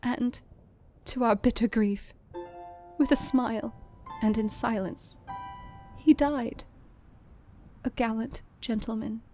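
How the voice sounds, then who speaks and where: An actress playing a grieving young Victorian wife, narrating an audio drama in a solemn, dramatic way. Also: female voice, on the high side, takes it slowly, pauses often, dark tone, faint music behind.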